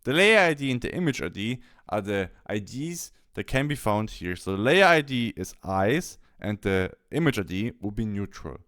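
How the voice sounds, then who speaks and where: A man presenting a software tutorial, explaining step by step in a halting instructional walkthrough. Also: lowish voice, big pitch swings. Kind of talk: instructional screen-capture walkthrough.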